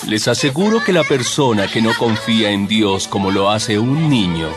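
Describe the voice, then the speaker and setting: A calm, solemn religious teacher played by a male actor addresses his followers in a dramatized audio Bible. The delivery is measured and emphatic, with wide swings in intonation. Music plays clearly behind him. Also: lowish voice, natural voice. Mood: earnest teaching. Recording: background music under the voice.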